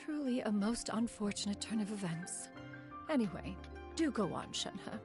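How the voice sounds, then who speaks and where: A woman's voice: mid-range voice, animated, moderate pace, over music, natural voice. A woman voicing an ancient, proud female crane spirit in a game cutscene, speaking in an archaic, formal way and calling herself "one". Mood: grand.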